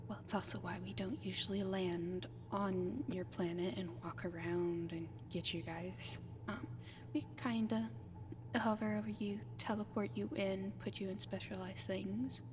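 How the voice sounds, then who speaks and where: A woman playing a friendly, apologetic alien caretaker in an ASMR roleplay, speaking gently and haltingly and often slipping into whispers. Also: medium pitch, steady tone, noisy background, close to an everyday voice. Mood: explaining, apologetic. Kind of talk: soft-spoken ASMR roleplay addressed to the listener.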